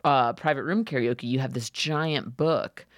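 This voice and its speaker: Very low-voiced woman, casual chat. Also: measured pace, no room sound, clean studio sound. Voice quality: deep voice. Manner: self-deprecating, trailing off with ums.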